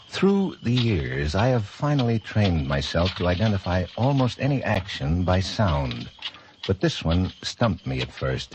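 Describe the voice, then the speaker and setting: An actor playing a blind detective captain, composed and courteous, with a measured, wry delivery in old-time radio drama style. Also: male voice, low voice, noisy background. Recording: old radio broadcast with music behind, muffled.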